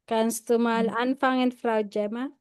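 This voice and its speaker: A woman's voice: fairly high pitch, level tone, a little slow, a little mellow, clean tone, dry recording. A woman teaching German, patiently rephrasing her questions and switching to Tagalog to help a student. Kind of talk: classroom questioning.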